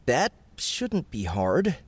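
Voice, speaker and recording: Man doing an excitable, higher-pitched cheeky gamer guy. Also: very slow, husky, heavily acted. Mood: smug. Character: excitable, cheeky young male gamer villain. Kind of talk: audiobook character acting.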